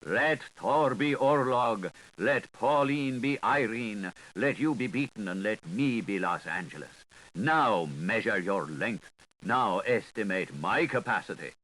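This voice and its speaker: A man's voice: lowish voice, animated, a little slow, fairly bright tone, low-quality recording. A man voicing a haughty, pontifical animal tyrant, pompous, imperious and declamatory, in an audiobook.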